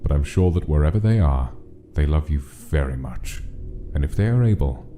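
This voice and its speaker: A man voicing a gentle, sorrowful but kind fatherly monster king in a comic dub, speaking formally and carefully. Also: rumbling low, lively intonation, normal speed, very noisy. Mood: gentle, reassuring. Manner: formal, careful, soothing phrasing. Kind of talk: dramatic comic dub voice acting.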